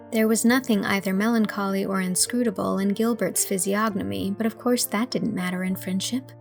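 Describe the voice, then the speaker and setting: A woman reading scripted audiobook narration in a measured, expressive storytelling manner. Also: medium pitch, gravelly.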